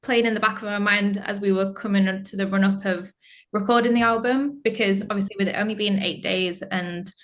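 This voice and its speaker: A woman's voice: mid-range voice, fairly even intonation, fairly quick, a bit bright. A young woman, a band's singer, chatting casually as an interview guest, with lots of fillers and frequent laughter.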